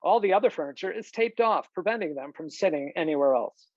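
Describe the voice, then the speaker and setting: Screenplay narrator, scripted stage directions, mid pitch, dry close sound, slightly dull lo-fi call audio. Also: animated, fast talker, dry recording.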